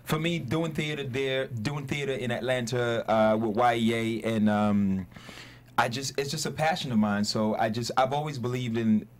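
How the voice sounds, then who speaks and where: A man's voice: mid-range voice, normal speed. A man who grew up in Sierra Leone and Atlanta, a guest actor in a casual panel chat, telling stories with lots of restarts. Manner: animated, laughing storyteller with frequent restarts. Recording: light background noise.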